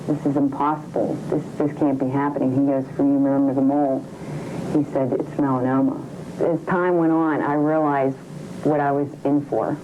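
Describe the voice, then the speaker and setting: A woman in her thirties from the United States giving an informal personal account as an interviewed patient. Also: sits low. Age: 30s. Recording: dull sound with clear background noise.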